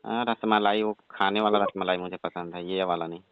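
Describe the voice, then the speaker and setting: A man's voice: low-pitched, steady tone, brisk, smooth, dry recording, natural voice. A man playing a reluctant, exasperated young village electrician, grumbling his refusals in a comic phone skit. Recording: tinny, dry phone-call recording.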